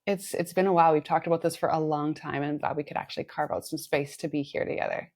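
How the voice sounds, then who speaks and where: A woman's voice: low voice, fairly even intonation, fast talker, darkish tone, dry recording, studio quality. A woman hosting a podcast over a video call, effusive and gushing, laughing often. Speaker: podcast host. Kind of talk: warm conversational interview.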